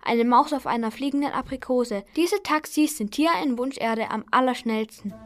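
A woman's voice: fairly high pitch, moderate pace. A woman narrating a scripted children's radio play as a storyteller.

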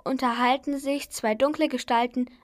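A woman's voice: high-pitched, steady tone, normal speed. A woman narrating a scripted children's radio play as a storyteller.

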